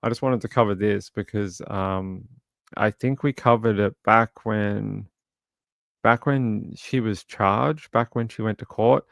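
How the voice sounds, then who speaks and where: A man talks over a true crime case to his livestream audience in a low, gravelly, crackly voice. He speaks haltingly, with plenty of "uh" and restarts. The sound is close and dry, with no room around it. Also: steady tone, no room sound. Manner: halting, many fillers and restarts. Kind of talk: casual livestream commentary, reading chat.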